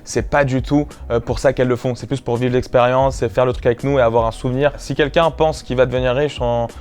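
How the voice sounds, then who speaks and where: A man's voice: mid-range voice, fairly even intonation, fast, a little mellow, clear, resonant tone. A man who co-created a prank show, chatting casually and rambling a little as he remembers. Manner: rambling with fillers and restarts. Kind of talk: casual behind-the-scenes chat.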